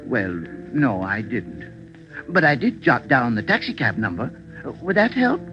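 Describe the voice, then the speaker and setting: An actor playing a mild, helpful druggist witness in a vintage radio drama, speaking hesitantly. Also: male voice, mid-range voice, wildly swooping pitch, unhurried. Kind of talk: 1940s radio acting. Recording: dull lo-fi old radio recording with music.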